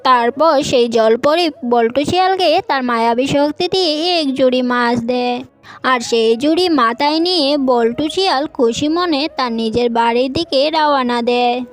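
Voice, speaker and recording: A woman storyteller with a high voice narrates a children's fairy tale. The delivery is scripted storybook narration, with faint music underneath. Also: a little slow.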